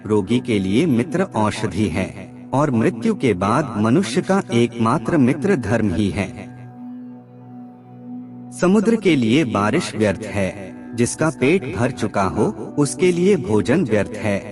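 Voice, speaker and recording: Slow, expressive male narrator over music. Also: medium pitch. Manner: measured, deliberate recitation. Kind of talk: scripted narration of aphorisms.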